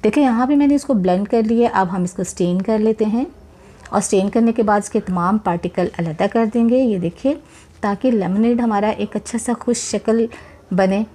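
A woman's voice: mid-range voice, normal speed. A woman hosting a cooking channel, talking viewers through a recipe in a chatty voice-over, often adding blessings as she works. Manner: warm, chatty, repeats religious blessings while cooking; addresses viewers directly, encouraging. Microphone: voice-over, speaker not seen.

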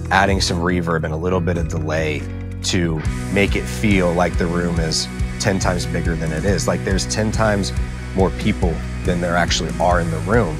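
A man in his thirties speaking conversationally and emphatically in an interview testimonial, often repeating himself for emphasis. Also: low-pitched. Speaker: church audio team member giving testimonial. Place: small indoor audio control studio, seated. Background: music bed.

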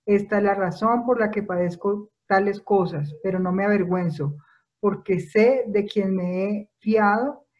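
A woman reading a Bible passage aloud as lector from a room at home, steady and earnest, filmed close on a webcam. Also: medium pitch, unhurried, highs cut off. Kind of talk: reading scripture.

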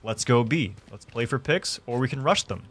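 A young man hosting a gaming tutorial, explaining things clearly step by step from his streaming room. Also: medium pitch, expressive, normal speed. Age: young adult. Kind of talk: tutorial narration. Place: small indoor room streaming setup.

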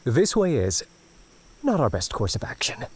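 A man's voice: mid-range voice, huge pitch swings, measured pace, breathy edge, exaggerated voice. A man playing a confident, amused seafaring adventurer in a fantasy audio drama, with a theatrical, wry delivery. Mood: dismayed.

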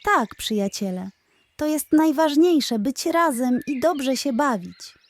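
A woman's voice: high-pitched, expressive, slow pace, voice pushed up, exaggerated voice. A woman voicing a friendly, cheerful female parrot who calls out in a children's story.

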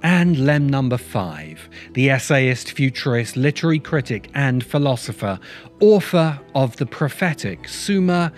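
A man's voice: mid-range voice, big pitch swings, a little slow. A middle-aged man reading scripted documentary narration as the host. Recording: music under the voice.

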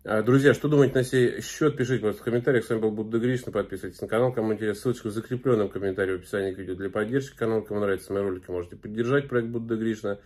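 A man's voice: on the low side, rapid-fire, hardly any pauses, a little mellow. A man in his thirties talking to camera in a small room, giving earnest commentary with frequent filler sounds and restarts. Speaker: video blogger.

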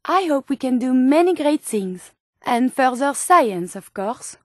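A woman's voice: high-pitched, big pitch swings, unhurried, a little mellow, no echo, studio quality. A woman voicing an earnest, polite female robot scientist with a French accent and formal, precise phrasing, as game companion dialogue. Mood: pleased, earnest.

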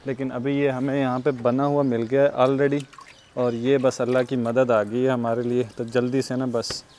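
A man's voice: medium pitch, level tone, moderate pace, a little mellow, a little hiss or hum. A young man from Pakistan narrating a casual vlog outdoors on farmland and a building site, laughing often between sentences, recorded on a lapel mic. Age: young adult. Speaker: vlogger. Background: faint outdoor ambience.

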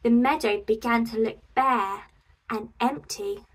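A woman in her twenties reading a picture book aloud to preschoolers, animated and expressive. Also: fairly high pitch, a little slow, slightly rough, recorded from a distance, poor audio. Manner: animated, expressive, addressing young children. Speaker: children's storyteller and host.

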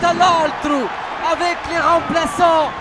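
A man giving passionate, excited live football commentary on the radio, often breaking into shouts. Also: extremely high pitch, slow. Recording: stadium radio broadcast, loud crowd noise. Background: noisy football stadium crowd.

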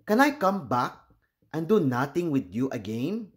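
A man's voice: a little high, sing-song, unhurried. A man voicing an eager, chatty young girl monkey in a storybook, asking things in an earnest, childlike way. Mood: curious. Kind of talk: storybook character voice.

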